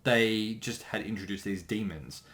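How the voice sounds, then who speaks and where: A man chatting casually as a commentator, thinking aloud in a halting way. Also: on the low side, a bit bright. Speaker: creator giving episode commentary.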